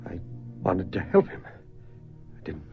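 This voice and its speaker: Deep-voiced, shaken older uncle. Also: male voice, wildly swooping pitch, slow, vocal fry, dull audio, heavily acted. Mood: grave, shaken. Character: grave, shaken older uncle, remorseful and protective. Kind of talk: dramatic radio horror acting. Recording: band-limited radio drama, faint music.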